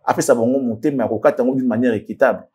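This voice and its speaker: A man's voice: mid-range voice, steady tone, very fast, dark tone, slightly rough. A man commenting in a casual studio discussion, switching between French and Lingala, often restarting and repeating words. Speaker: commentator guest.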